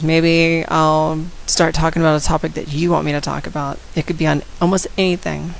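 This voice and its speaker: Woman vlogger, low gravelly voice, raspy from a head cold, outspoken and direct, faint hiss. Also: low-quality recording. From San Antonio, Texas. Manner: outspoken, direct, addresses viewers. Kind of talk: casual talk to camera.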